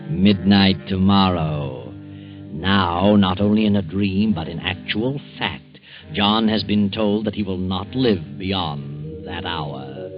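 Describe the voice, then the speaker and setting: An actor playing a sinister, sardonic all-knowing narrator in a 1940s radio mystery. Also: male voice, deep voice, slow. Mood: mocking, ominous. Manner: sardonic, ominous. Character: sinister, mocking omniscient male narrator of a mystery. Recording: muffled old radio recording. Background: music.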